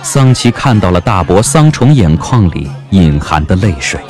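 A man narrating a novel as an audiobook, with measured, literary and dramatic phrasing. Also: sits low, very animated, darkish tone, some chatter behind. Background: background music.

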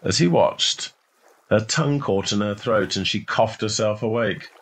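Low-pitched man reading a story. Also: a little slow. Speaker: short story narrator. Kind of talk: scripted literary narration, audiobook-like storytelling.

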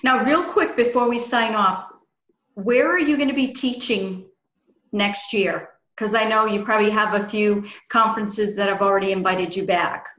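A woman's voice: mid-range voice, moderate pace, a bit bright. A middle-aged woman hosting a conversational interview over a video call, effusive and encouraging. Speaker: host. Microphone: video call, close to webcam. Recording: echoey room, dull video-call audio.

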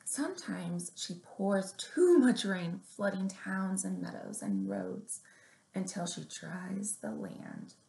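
A young woman leading a story time for small children, reading aloud and giving simple instructions in a measured, deliberate way. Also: medium pitch, sing-song, creaky, mellow. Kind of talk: picture-book reading and finger plays for young children. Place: small room indoors at home or office. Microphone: webcam or laptop mic at arm's length. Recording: roomy, echoing webcam sound.

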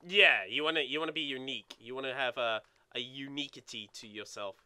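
A young man co-hosting a video game let's play in casual chat, excitable and sweary, often repeating words as he gets going. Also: medium pitch, a little fast, clear, resonant tone.

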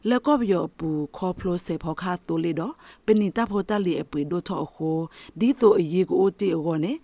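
Low-voiced woman reading radio news. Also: lively intonation, brisk, mellow, no room sound.

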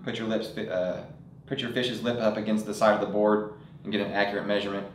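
A young man with a fairly low voice demonstrates products in a relaxed, unscripted way, often pausing on filler sounds. The studio gives his voice some room echo. Faint ambience sits behind him. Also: slight background noise. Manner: casual, relaxed delivery with frequent "uh" fillers. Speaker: store product presenter. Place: indoor studio set with table of products.

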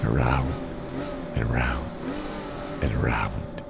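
An actor playing a bitter, self-loathing demolition derby driver, narrating a motion comic with brooding, theatrical, drawn-out phrasing. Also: male voice, rumbling low, steady tone, vocal fry, over loud music, exaggerated voice. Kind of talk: dramatic first-person comic narration.

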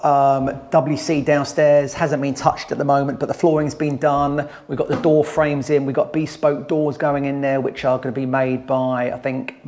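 A man's voice: a little high, a little mellow, slight background noise. Man touring a gutted house. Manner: brisk, matter-of-fact, lists work item by item. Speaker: renovation company site manager giving a tour. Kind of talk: casual walkthrough site tour. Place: empty house under renovation, indoors, bare plastered rooms. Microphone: wide-angle camera carried by someone else, voice at a few meters.

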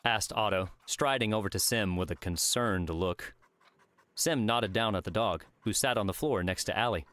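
A man's voice: lowish voice, moderate pace, fairly bright tone, clean tone, no echo. A man reading a work of fiction aloud as scripted audiobook narration.

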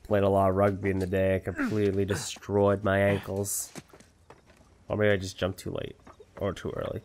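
A man's voice: sits low, monotone, normal speed, a little mellow, a little hiss or hum. A man giving casual gameplay commentary, chatty and self-mocking, guessing aloud. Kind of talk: casual let's-play commentary.

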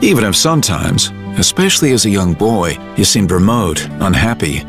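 A man narrating an audio drama in a measured, reflective storytelling style with dramatic pauses. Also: lowish voice, big pitch swings, faint music behind.

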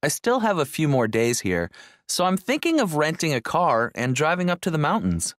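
Enthusiastic male traveller, played by an actor for course audio: highly animated, slightly high pitch. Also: moderate pace, no echo. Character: enthusiastic male visitor on holiday. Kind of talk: scripted textbook listening passage.

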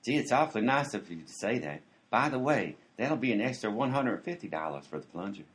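A man playing a stubborn, unhurried deliveryman with a deadpan manner in a comedy radio play. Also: lowish voice.

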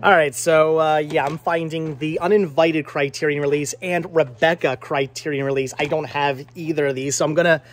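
An American man in his thirties chatting excitably as a vlog host, often repeating his words, recorded on a handheld camera indoors in a shop. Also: on the high side, normal speed, hardly any pauses. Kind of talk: casual handheld shopping vlog chat. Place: indoor flea market movie shop. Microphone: handheld camera at arm's length.

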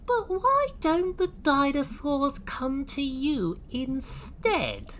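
A sly little male sea creature from a children's storybook, pitched high and swooping wildly. He wheedles with false sympathy, stretching his words out slowly to make mischief. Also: big pitch swings, fairly bright tone, a little hiss or hum, full character voice. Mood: mock concern. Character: sly small naughty male nautiloid, wheedling mischief-maker.

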